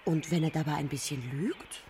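Pert fairy-tale princess. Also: female voice, low voice, fairly even intonation, moderate pace, warm tone, recorded from a distance, a little hiss or hum. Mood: smug, pleased. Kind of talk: children's radio play.

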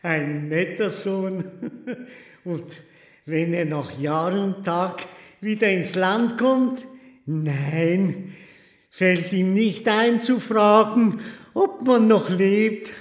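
A man's voice: high voice, drawn-out words, echoey room. An actor in a German radio play, playing a frail, reproachful old man who mutters and repeats himself. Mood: muttering, reproachful. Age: older. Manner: rambling, repetitive muttering with sour chuckles.

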